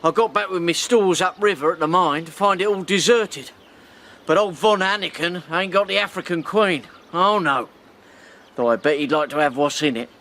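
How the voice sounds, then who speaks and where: An actor playing a scruffy, plain-spoken riverboat captain, talking bluntly and haltingly. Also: male voice, high voice, bright, forceful tone. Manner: blunt, halting, slangy speech. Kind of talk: radio drama acting.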